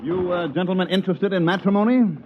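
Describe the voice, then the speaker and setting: An actor in a 1950s radio drama playing a smooth-talking male con man who also narrates the story, on a dull old radio recording. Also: high-pitched, fast. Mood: smooth salesman.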